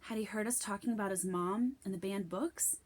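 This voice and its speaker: A woman giving an expressive scripted reading of a children's novel as narrator. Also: mid-range voice, fairly quick, slight background noise. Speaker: storybook reader.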